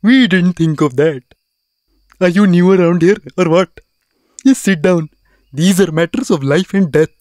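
A man's voice: high-pitched, measured pace, long pauses, darkish tone, dry recording, studio quality. A man voicing a brusque, bossy jungle animal in a cartoon. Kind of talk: cartoon voice acting.

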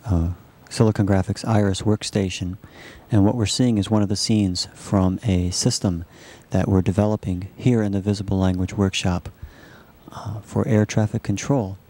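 A man narrating a software demonstration as a researcher, explaining it in a halting, measured way. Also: lowish voice, steady tone. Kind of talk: live demo narration of a computer animation.

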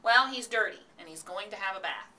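A woman's voice: mid-range voice, moderate pace, sharp tone, far from the mic, pitched above the performer's own voice, close to an everyday voice. A woman voicing a determined farmer's wife in a storybook, emphatic and matter-of-fact. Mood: insistent.

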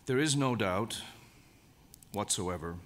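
A man giving a formal, measured lecture at a convention, speaking deliberately and stressing key words. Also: on the low side, expressive, a bit bright. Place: lecture hall. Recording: long hall reverb.

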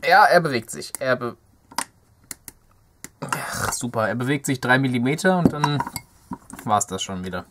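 A young man talks casually through hands-on work, muttering playfully as he thinks aloud. His voice sits at an average pitch for a man. There is faint noise in the recording, as at an indoor workbench. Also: expressive, unhurried, long pauses. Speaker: DIY electronics video host. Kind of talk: casual hands-on teardown commentary.

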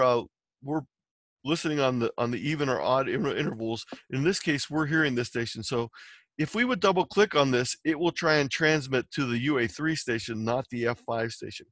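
A man's voice: medium pitch, a bit bright, dry recording. Man presenting a step-by-step tutorial, dry sound. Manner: explanatory, step by step. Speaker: presenter teaching amateur radio software. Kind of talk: instructional slide presentation.

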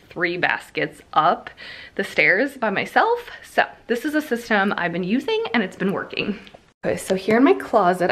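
A woman in her thirties with an American accent talks casually to her viewers. She has a mid-pitched voice and explains things in a chatty, informal manner, like a home vlogger indoors. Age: 30s. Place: indoors in a house.

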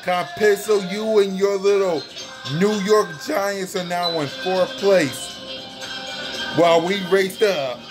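Slow, high-pitched American man trash-talking. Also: fairly even intonation, music in the background. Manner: mocking, repetitive, drawn-out delivery. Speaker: sports fan vlogger. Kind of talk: taunting fan vlog, casual trash talk. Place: small room indoors at home. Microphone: phone at arm's length.

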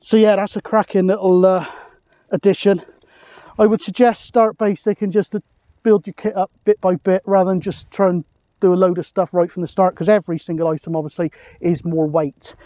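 A man's voice: sits high, level tone, fairly quick, warm tone, no echo. A British man in his forties chatting casually to camera as a vlogger, recorded outdoors on an open hilltop. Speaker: vlogger, CB radio hobbyist. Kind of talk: casual outdoor vlog talk.

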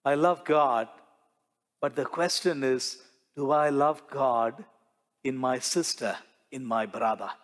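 Slow-preaching priest in a reverberant church. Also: male voice, medium pitch. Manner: slow, measured preaching with rhetorical questions and gestures. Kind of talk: sermon. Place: large church sanctuary before the altar.

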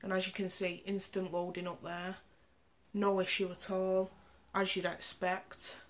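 A woman's voice: mid-range voice, flat delivery, a little slow. A woman, stuffed up and nasal from a cold, casually reviewing a tech gadget while demonstrating it on screen. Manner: chatty, explains specs while demonstrating. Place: indoor room, camera on the screen.

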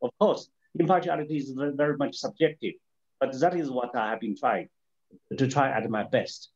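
A man from China with a Chinese accent speaks off the cuff as a webinar panelist, arguing policy in a halting, explanatory way with rhetorical questions. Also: medium pitch, a little mellow, highs cut off. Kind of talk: extemporaneous argument in a policy discussion.

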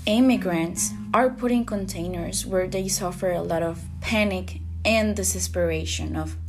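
Student from Costa Rica, reads her script slowly, guitar bed under her. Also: lowish voice. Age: young adult. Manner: slow, earnest reading. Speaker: student podcaster. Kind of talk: scripted reading.

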